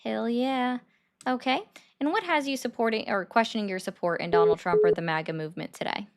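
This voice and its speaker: A woman hosting a political call-in livestream, arguing bluntly with callers and mocking them. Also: fairly high pitch. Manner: blunt, argumentative, quick to mock. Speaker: livestream host taking callers.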